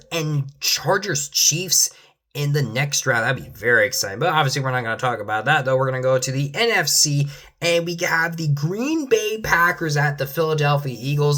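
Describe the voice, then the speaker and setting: A man's voice: a little high, fairly bright tone. Rambling young man predicting football games. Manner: rambling, repeats "though" at line ends, many restarts. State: losing his voice at times. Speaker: sports commentator.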